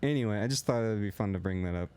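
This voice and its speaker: Young male co-host, lower voice, loud and rambling, casual podcast chat. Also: racing, smooth. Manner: loose and rambling, heavy on filler words; talks a little loud.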